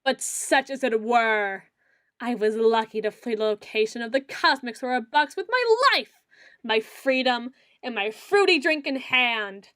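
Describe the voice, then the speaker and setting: A woman playing a melodramatic self-styled female dark lord in an ASMR roleplay, pompous and theatrical, grandiose yet a little awkward. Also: a little high, measured pace, no echo, clean studio sound. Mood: pompous, menacing. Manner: pompous, theatrical, mock-archaic phrasing with awkward hedging.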